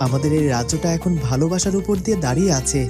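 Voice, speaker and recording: A woman's voice: sits low, animated, rapid-fire, over music. A woman playing a kind, dutiful young prince who speaks politely and earnestly in a fairytale cartoon. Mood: glad, respectful.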